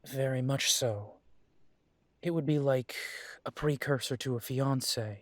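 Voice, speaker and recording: A man voicing a young adult werewolf in an audio drama, earnest and proud, his words slow and unhurried. The close, dry sound keeps his mid-range voice right up front. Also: medium pitch, no room sound. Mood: insistent. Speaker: audio drama creator and lead voice.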